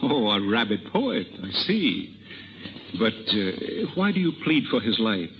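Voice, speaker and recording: An actor playing a roguish, passionate poet-thief with theatrical delivery in a 1930s radio drama. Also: male voice, on the low side, big pitch swings, slow, creaky, exaggerated voice. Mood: suave, probing. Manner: theatrical, impassioned. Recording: dull 1930s radio broadcast.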